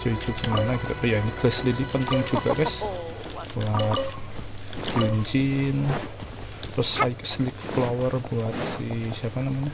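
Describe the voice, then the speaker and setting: Very low-voiced Indonesian woman gamer. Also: fairly even intonation, moderate pace, creaky, poor audio. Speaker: game streamer. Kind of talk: casual gameplay commentary. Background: game music.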